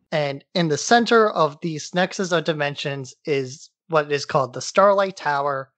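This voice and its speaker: A man's voice: fairly high pitch, a bit bright, dry recording. Informal man recapping in a dry-sounding space. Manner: informal, irreverent. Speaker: podcast co-host. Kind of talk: casual plot recap.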